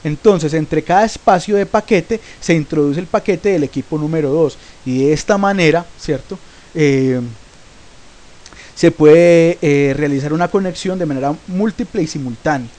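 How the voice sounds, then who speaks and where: Guy with a sore throat explaining networks, kinda high but mellow voice, lots of eh. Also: normal speed. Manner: asks himself questions, then answers them; frequent hesitations with "eh". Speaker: network tutorial host. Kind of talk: recorded tech lesson.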